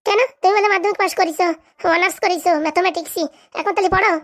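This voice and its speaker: A woman acts out a young village daughter in a comic cartoon with a distinctly high voice and very rapid delivery. She is sassy, sharp-tongued and matter-of-fact, speaking. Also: steady tone. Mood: sassy, irritated.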